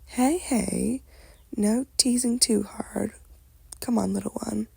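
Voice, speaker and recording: A woman voicing a gentle, protective young male idol leader in a soft, breathy, coaxing way for a roleplay story. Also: medium pitch, slow pace, no echo, exaggerated voice, poor audio. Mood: soothing.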